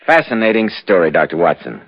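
A man announcing and pitching a sponsor in a scripted 1940s radio style. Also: big pitch swings, brisk, over loud music. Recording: dull vintage radio recording.

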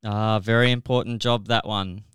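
A man's voice: lowish voice, steady tone, normal speed, fairly bright tone, smooth, dry recording, clean studio sound. A man with an Australian accent hosts a podcast, moving between prepared introductions and conversational interviewing. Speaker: podcast host.

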